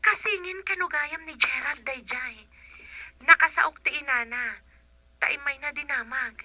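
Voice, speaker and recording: A woman's voice: high-pitched, measured pace, bright, forceful tone, dry recording, heavily acted. A woman playing a respectful, earnest young daughter in an Ilocano radio drama. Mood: questioning.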